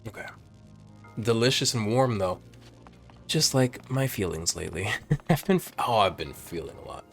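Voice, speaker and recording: A man in his late twenties chatting casually in a vlog, rambling and jumping up in pitch when excited, filmed on a phone at home. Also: moderate pace, soft background music. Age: 20s. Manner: rambling, animated, swings high when excited. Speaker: vlogger. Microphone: phone camera.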